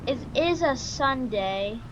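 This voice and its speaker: A woman doing casual, halting roleplay commentary over a farming game, excitable and given to exclaiming. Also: high voice, lo-fi sound. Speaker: gaming video creator. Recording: noisy, with vehicle engine sounds.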